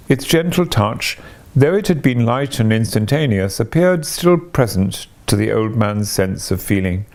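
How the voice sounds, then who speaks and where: A man reading classic fiction aloud as an audiobook, with measured, dramatic phrasing. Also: medium pitch, darkish tone. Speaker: story reader.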